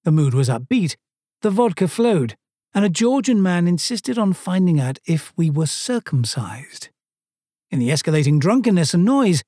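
Swedish guy telling a travel story, dry humor, bit high voice, very dead dry recording. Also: dark tone, dry recording. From Sweden. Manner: wry, dryly self-mocking storytelling. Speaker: narrator. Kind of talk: first-person travel narration.

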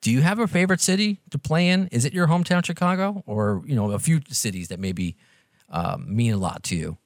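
A man's voice: medium pitch, a little fast, no room sound, clean studio sound. A middle-aged man with a New York accent, hosting a podcast interview in a chatty, self-deprecating way. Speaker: podcast host and radio presenter. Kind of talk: casual interview chat.

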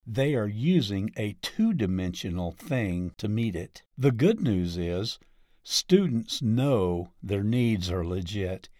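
A man reading a ministry article aloud, deliberate and evenly paced, with lively shifts in emphasis. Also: on the low side, no room sound, studio quality. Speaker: article reader.

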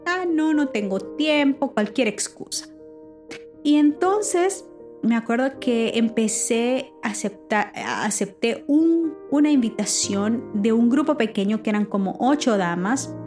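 A woman who preaches, with Spanish as her first language, telling a personal story in a lively, halting way with many restarts. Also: fairly high pitch, faint music behind. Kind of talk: personal testimony, casual talk.

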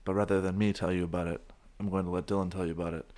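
A young man hosting a casual podcast, his voice hoarse from shouting, talking in a goofy, rambling way with frequent restarts. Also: deep voice, level tone, rapid-fire. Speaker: podcast host. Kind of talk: casual chat.

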